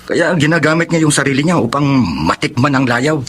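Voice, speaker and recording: A man acting a bitter, disapproving family member with a husky voice in a radio drama. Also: fairly high pitch, brisk, fairly bright tone.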